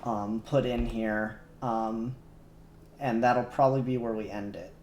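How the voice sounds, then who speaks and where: A man's voice: medium pitch, fairly even intonation, measured pace, a little mellow, a little hiss or hum. A man live-streaming his 3D modelling work, talking casually and muttering to himself, a little self-conscious. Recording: echoey room, faint music behind.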